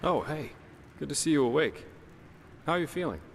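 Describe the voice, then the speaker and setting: A man's voice: a little high, sing-song, brisk. An actor playing an offhand, conversational male companion in a fantasy game. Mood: friendly, casual. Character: casual, friendly-seeming male companion turning contemptuous. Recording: reverberant hall with faint noise.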